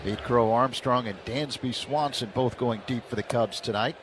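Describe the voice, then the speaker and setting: A man doing radio play-by-play of a baseball game. His voice sits at a middling pitch, he calls each pitch steadily, and his voice lifts on big plays. There is clear noise behind him. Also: moderate pace. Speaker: play-by-play announcer.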